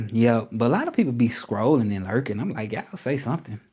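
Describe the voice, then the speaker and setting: Man in his own livestream, mid-pitched, casual and repetitive, addresses viewers directly. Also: husky. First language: English. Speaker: livestreaming creator and language tutor.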